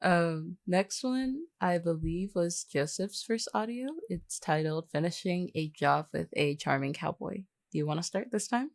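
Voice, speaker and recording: Woman with a really low voice just chatting as co-host, kinda deadpan and teasing. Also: measured pace, vocal fry, few pauses. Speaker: podcast co-host, voice actor. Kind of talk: casual chat.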